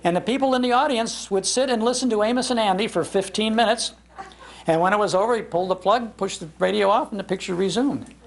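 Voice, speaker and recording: An American man telling anecdotes to a gathering as an animated raconteur. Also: high voice, fast, vocal fry. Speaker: speaker, radio historian. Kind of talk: anecdotal storytelling in a public talk. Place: public library talk.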